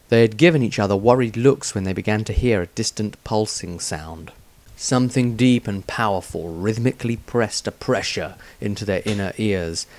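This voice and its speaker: A man reading his own fantasy story as dramatic scripted narration, in a measured, suspenseful storytelling manner. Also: fairly low pitch.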